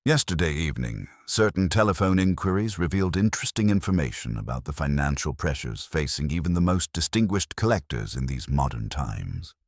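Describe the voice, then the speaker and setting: Man reading a fastidious detective: very deep, expressive, close and dry. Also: dry recording, exaggerated voice. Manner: precise, probing, formal. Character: fastidious Belgian male detective, middle-aged.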